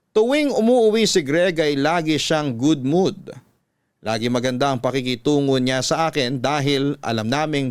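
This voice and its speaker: A man with a Filipino accent narrating a sentimental confessional story in a measured, classic radio drama style. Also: mid-range voice, smooth, no room sound, studio quality. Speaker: radio-style storyteller host reading a letter.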